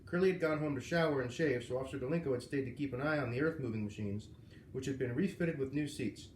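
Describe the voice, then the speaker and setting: A man's voice: mid-range voice, fast. Man reading aloud in an echoey room. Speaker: read-aloud narrator. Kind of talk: reading a children's novel aloud. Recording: roomy, echoing, noisy home recording.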